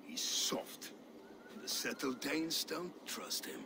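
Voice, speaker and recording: An actor playing a blunt, scornful, sardonic warrior adviser in a video game cutscene. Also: male voice, on the high side, slow pace, gravelly, noisy background. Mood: wry, blunt. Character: gruff, scornful male Danish warrior ally, blunt adviser.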